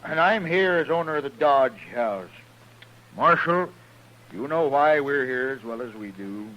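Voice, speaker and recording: An actor playing a self-important, indignant frontier hotel owner in a 1950s radio western, pompous and insistent. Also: male voice, mid-range voice, expressive, measured pace, pushed and bright, highs cut off. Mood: officious. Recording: noisy old radio recording.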